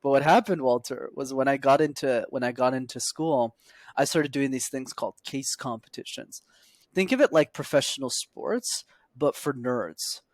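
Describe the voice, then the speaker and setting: A man's voice: medium pitch, normal speed, no echo. A young man from Montreal, a public speaking coach, answering interview questions fluently and with an upbeat storytelling manner. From Montreal, Quebec, Canada. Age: young adult. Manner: fluent and upbeat, tells stories with punchlines.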